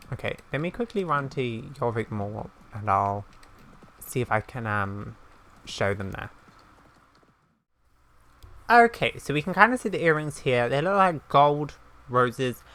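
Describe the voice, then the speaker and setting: A woman's voice: a bass voice, lively intonation, slow, pauses often, a bit bright, a little hiss or hum. A woman talks casually through a game as its host. Her commentary is chatty and rambling, drifting from one reaction to the next in an informal, conversational way. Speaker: gaming video host.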